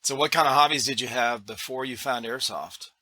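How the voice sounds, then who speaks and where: A man hosts a relaxed podcast conversation, easygoing and agreeable. His voice is bright and crisp-edged, recorded close and dry without room sound. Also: mid-range voice, brisk, no room sound. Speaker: podcast host. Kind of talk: casual interview.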